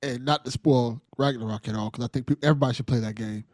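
A man's voice: mid-range voice, steady tone, quick pace, a little mellow, no room sound, clean studio sound. A man hosting a podcast in casual conversation, earnest and appreciative, often repeating things for emphasis. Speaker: podcast host.

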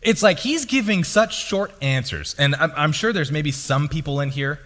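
A young man with a deep voice preaching a sermon in a church, chatty and self-deprecating as he tells stories. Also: moderate pace. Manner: lively, conversational, self-deprecating storytelling. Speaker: pastor. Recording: long reverb of a large hall.

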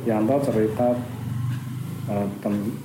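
A man's voice: on the low side, fairly even intonation, slow, echoey room, heavy background noise. A man interviewing an older guest, politely prompting with questions. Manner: polite, prompting, with short acknowledgments.

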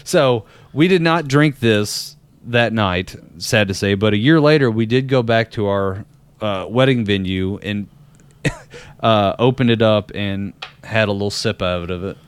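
A man's voice: lowish voice, measured pace, clear, resonant tone, dry recording. A man in his twenties co-hosting a podcast, telling a long story in a rambling way, laughing often. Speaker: podcast co-host. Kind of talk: casual chat.

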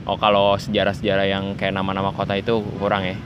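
A man's voice: sits low, monotone, quick pace, muffled recording, background noise. A man hosting a casual interview, teasing and prompting his guest with short replies. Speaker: interviewer host.

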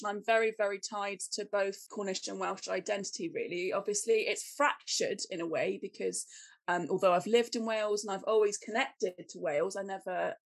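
A woman's voice: mid-range voice, creaky. A young woman from Cornwall, a podcast guest talking casually and laughing often as she chats. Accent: English. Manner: chatty, laughs often, self-deprecating. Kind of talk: casual interview chat.

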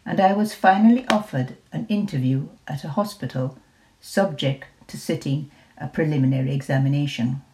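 A woman in her seventies reads her memoir aloud, slow and deliberate. Her voice sits low, with a soft, mellow warmth to it. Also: low-quality recording. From Jamaica. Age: 70s. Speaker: retired nurse. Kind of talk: reading a personal memoir.

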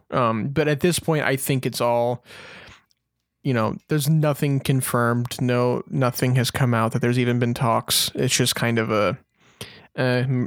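A man with an average-pitched voice co-hosts a casual news discussion. He talks conversationally, with a fan's enthusiasm. The sound is very dry, without room echo. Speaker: podcast co-host.

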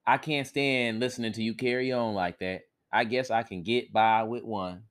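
A man's voice: lowish voice, steady tone, normal speed, natural voice. A man voicing a weary reluctant buffalo. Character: big patient male buffalo.

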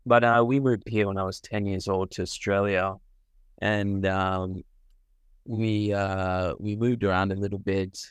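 A man from Sri Lanka, a medical doctor, answering interview questions earnestly, pausing often with fillers. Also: lowish voice, level tone, clean tone, no echo. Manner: earnest, with frequent pauses and fillers.

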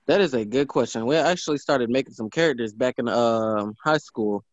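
Man, fast rambling talk, dull lo-fi dry recording. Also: mid-range voice, no room sound. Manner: rapid, rambling casual talk. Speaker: co-host, show creator. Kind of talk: casual chat. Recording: dull lo-fi sound.

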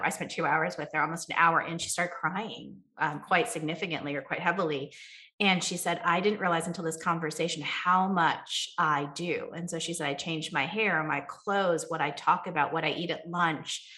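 A woman's voice: low voice, steady tone, moderate pace, bright, forceful tone. A woman author answering questions in a virtual talk, earnest and reflective, with fillers and restarts. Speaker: author and guest speaker.

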